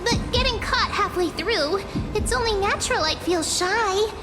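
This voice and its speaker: A woman voicing a playful, dreamy young girl in an anime-style video game, coy and earnest, with cutesy, expressive delivery. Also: very high-pitched, normal speed, music in the background. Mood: bashful, excited.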